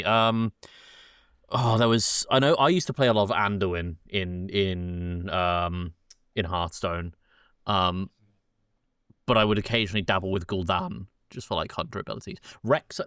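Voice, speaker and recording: A man's voice: low voice, moderate pace, a bit bright, clear, resonant tone, dry recording, studio quality. A man hosting a podcast, chatting loosely and casually about film, correcting himself and swearing offhand. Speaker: podcast host.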